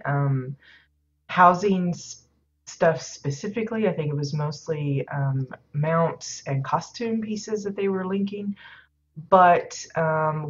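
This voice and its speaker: A woman hosting a game livestream in casual chat, a little hoarse and stuffed up, rambling with many ums and uhs. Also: deep voice. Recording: slightly dull lo-fi audio.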